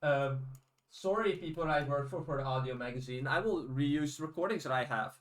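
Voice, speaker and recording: A man's voice: a little high, normal speed, fairly bright tone. A native Dutch man speaking English as a podcast host, casual and enthusiastic.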